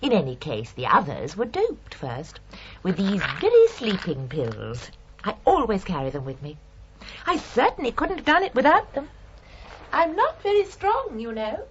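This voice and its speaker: Casting: fussy British landlady-killer for radio crime drama, prim and obsessed with tidiness, voice leaping wildly between indignation and cool calm. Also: on the high side, moderate pace. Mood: sweetly menacing. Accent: British English. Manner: prim, indignant, chillingly matter-of-fact. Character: fussy, house-proud murderous landlady, obsessed with rules and tidiness. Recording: dull radio recording with faint hiss.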